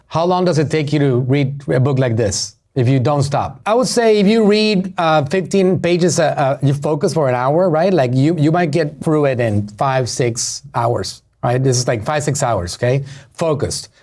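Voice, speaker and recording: A man's voice: on the high side, normal speed, few pauses, darkish tone. A middle-aged man with a Puerto Rican accent, a marketer hosting a podcast, giving an emphatic motivational business talk that repeats key phrases and asks the listeners questions. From Puerto Rico.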